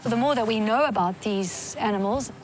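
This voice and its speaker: A woman's voice: on the high side, normal speed. A woman ecologist speaking in an earnest, articulate documentary interview. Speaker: wildlife ecologist. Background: music.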